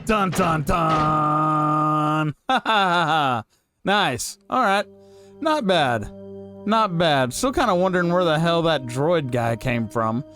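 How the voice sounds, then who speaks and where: A man's voice: on the high side, lively intonation, slow, bright, forceful tone, faint music behind. A man giving casual, unscripted reaction commentary, rambling and repeating himself as a host. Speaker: fan reaction channel host.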